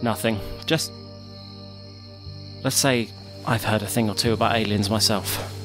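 An actor playing a dry, sardonic detective in an audio drama. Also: male voice, on the low side, fairly even intonation, a little slow, music in the background, natural voice. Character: Duggan, dry wry male detective.

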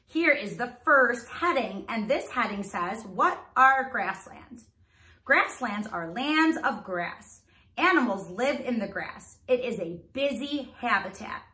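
Female primary teacher, read-aloud for little kids; bright, very expressive delivery, roomy echo. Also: fairly high pitch, slow pace. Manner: animated, enunciated, prompting listeners with questions. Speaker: teacher reading a children's book aloud. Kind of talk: read-aloud lesson for young children.

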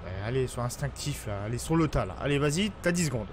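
A man's voice: medium pitch, lively intonation, fast, a little hiss or hum. A man in his thirties streaming a police roleplay game, bossing and teasing a recruit in a playful, mock-commanding way on a headset. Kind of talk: casual roleplay banter. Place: home gaming room.

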